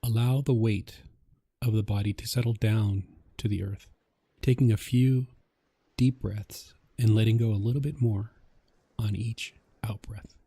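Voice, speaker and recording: A man narrating a guided meditation in a gentle, calming, measured way, with a soft voice. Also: lowish voice, slightly rough, no room sound.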